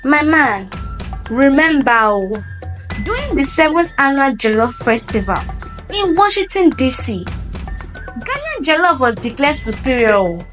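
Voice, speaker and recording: Woman playing a proud, boastful Ghanaian male chef, high-voiced. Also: very animated, unhurried, over music. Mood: boastful, taunting. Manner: boastful, taunting.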